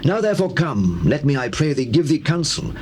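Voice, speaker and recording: An actor playing an urgent, forceful biblical figure in a scripture drama, with declamatory delivery. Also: male voice, on the high side, big pitch swings, fairly quick. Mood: urgent counsel. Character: urgent, forceful biblical man of high station. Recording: faintly noisy old cassette tape.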